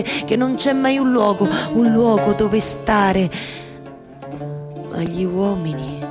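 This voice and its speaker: A woman's voice: mid-range voice, darkish tone. A woman with a Roman Italian accent playing a weary, yearning wife who weaves stories, in an incantatory theatrical monologue. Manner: incantatory repetition, shifting from murmur to insistence. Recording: live music behind the voice.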